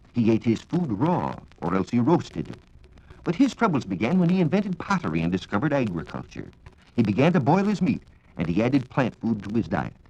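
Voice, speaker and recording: A man with an American accent giving a formal, measured, scripted 1940s radio science talk on a dull vintage recording. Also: mid-range voice, darkish tone. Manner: formal, measured lecturing with rhetorical questions. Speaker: science reporter and radio speaker. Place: radio studio.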